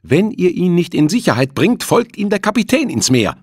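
A desperate woman voiced by a male narrator in an audiobook thriller. The voice leaps up high and loud, shouting with shrill hysteria. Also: big pitch swings, normal speed, husky, no echo, studio quality, exaggerated voice. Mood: hysterical, urgent. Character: hysterical, desperate young woman aboard a ship.

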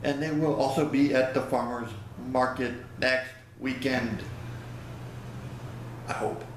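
A man's voice: medium pitch, fairly even intonation, takes it slowly. A man in his fifties chatting casually to a webcam in a small room, rambling and folksy as he loses his words now and then. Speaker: vlogger. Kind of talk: casual product review vlog. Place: small indoor room by a plain wall. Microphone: webcam at close range. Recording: very echoey room, faint hiss.

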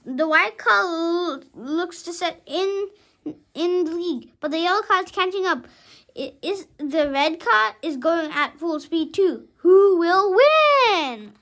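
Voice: very high voice, animated, takes it slowly, fairly bright tone. A young child of about five reading a storybook aloud dramatically, sometimes stumbling over words and rereading them.

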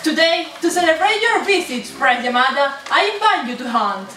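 A woman's voice: sits high, sing-song, normal speed. A young woman acting out dramatic characters in an amateur puppet show. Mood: formal. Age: young adult. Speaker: student puppeteer. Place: indoor room at a day centre. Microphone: far camera. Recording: noisy echoey room, distant camera mic.

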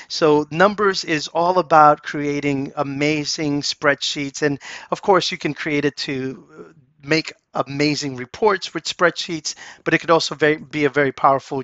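Chatty man teaching a software course. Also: a little high, no echo. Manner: chatty, filler-heavy, enthusiastic. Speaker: course instructor. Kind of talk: casual software tutorial voice-over. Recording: dull, slightly lo-fi dry voice-over.

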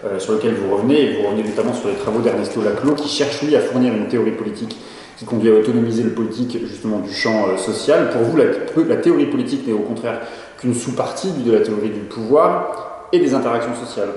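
Low distant interviewer in an echoey room. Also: male voice, fast, darkish tone, a little hiss or hum. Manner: formal, long framed questions with fillers. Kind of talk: reading prepared interview questions.

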